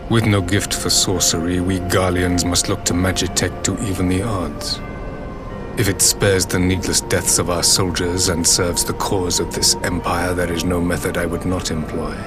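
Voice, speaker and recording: An actor voicing a stern, proud and ruthless emperor in a video game, speaking in a formal, grave and imperious way. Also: male voice, low voice, flat delivery, normal speed, close to an everyday voice. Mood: cold resolve. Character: stern, ruthless, proud young-line emperor of a military empire. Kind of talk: video game voice acting, dramatic dialogue. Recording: loud music behind the voice. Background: loud game music.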